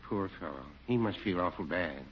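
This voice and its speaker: An actor playing a gentle, mild-mannered pharmacist in a 1940s radio comedy. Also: male voice, low-pitched, lively intonation, normal speed. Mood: kindly. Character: mild, kindly male pharmacist. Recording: muffled old radio broadcast.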